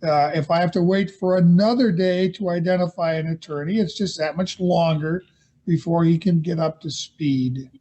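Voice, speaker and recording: A man presiding as judge in a court hearing held over a video call, speaking in a measured, hesitant way with many fillers. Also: a little high, steady tone, mellow, highs cut off.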